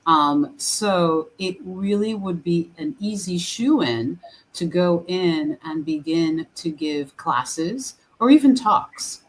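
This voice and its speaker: Low-voiced woman minister. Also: animated, rough recording. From Haiti. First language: French. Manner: earnest, halting with fillers and restarts. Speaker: guest minister. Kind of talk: casual interview chat.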